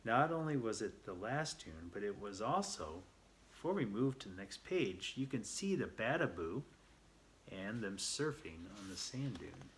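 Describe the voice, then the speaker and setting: A man telling a children's story aloud, reading a little haltingly and repeating words as he goes. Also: fairly low pitch, very animated, slight background noise.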